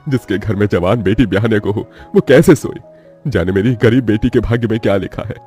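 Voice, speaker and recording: A man's voice: lowish voice, brisk, dark tone, soft background music, close to an everyday voice. A man playing a poor, anxious, humble middle-aged labourer father in a cartoon moral story, speaking in a pleading way. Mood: anguished worry.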